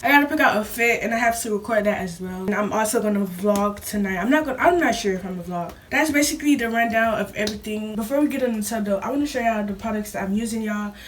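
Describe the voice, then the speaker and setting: Young woman rambling thru a vlog at home, sore throat so her voice sits a bit low, kinda far from the mic w faint hiss. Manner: rambling, talks fast with laughs. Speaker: vlogger. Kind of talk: casual chatty vlog.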